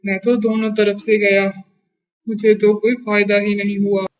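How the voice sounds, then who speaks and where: An actor playing a sly, greedy male merchant in a Hindi moral-story cartoon, smooth and ingratiating in manner. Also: mid-range voice, monotone, moderate pace, natural voice, rough recording. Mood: bitter regret. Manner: ingratiating in company, scheming when alone. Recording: music behind the voice.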